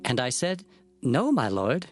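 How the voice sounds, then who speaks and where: A man's voice: medium pitch, wildly swooping pitch, unhurried. A man playing an earnest, awed prophet who recounts his visions reverently and expressively as narrator of dramatized scripture. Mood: awed, wondering. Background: faint music.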